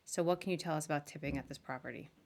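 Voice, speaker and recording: Lower-pitched woman hosting casually. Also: racing, darkish tone. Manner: informal, chatty, question-led. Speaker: podcast host. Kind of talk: casual live interview.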